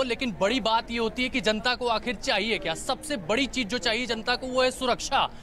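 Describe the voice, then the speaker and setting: A man reporting live for the news from outdoors in a village. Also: extremely high pitch. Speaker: field reporter. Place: outdoors in a village near a temple. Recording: faint outdoor background noise.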